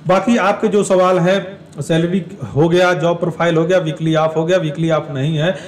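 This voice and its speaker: A man's voice: on the high side, little pitch movement, normal speed, distant mic. A man giving an explanatory talk to exam aspirants, repeating and rephrasing his points as he goes. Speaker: exam information presenter.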